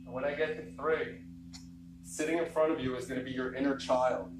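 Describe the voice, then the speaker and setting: A man leading a guided meditation, giving slow, soothing instructions and repeating phrases. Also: mid-range voice, fairly even intonation, background noise. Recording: roomy and distant with loud music.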